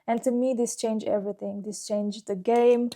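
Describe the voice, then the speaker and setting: Mellow-voiced woman chatting about her life. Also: on the high side, flat delivery, moderate pace. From Romania. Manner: chatty and fond, with frequent "like" fillers. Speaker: fragrance YouTuber. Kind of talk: casual personal vlog talk.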